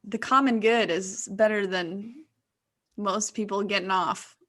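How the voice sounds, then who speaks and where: A woman's voice: medium pitch, steady tone, unhurried, a bit bright. A woman on a podcast panel, talking casually in discussion.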